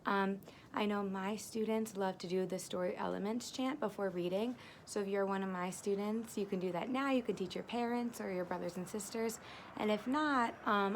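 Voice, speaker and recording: A woman's voice: mid-range voice, slight background noise. A woman kindergarten teacher reading a picture book to young children and explaining it simply, outdoors on a porch.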